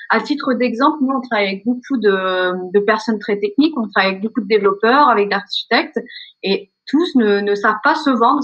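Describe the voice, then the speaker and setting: A woman's voice: fairly high pitch, fairly bright tone, dull audio. A woman recruiter explaining what companies look for, speaking on a video call in a webinar. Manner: explanatory, lists key points. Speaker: recruiter, headhunter. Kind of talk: webinar presentation.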